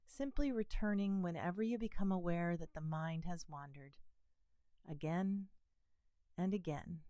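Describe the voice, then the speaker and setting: A woman gently guiding a body scan meditation in a calm, soothing manner, leaving pauses between phrases. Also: lowish voice, no room sound. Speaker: meditation teacher.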